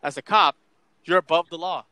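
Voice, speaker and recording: A young man leads a casual debate and presses the others with pointed questions. His voice is bright and forceful and sits a little high. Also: fairly quick, smooth, no echo.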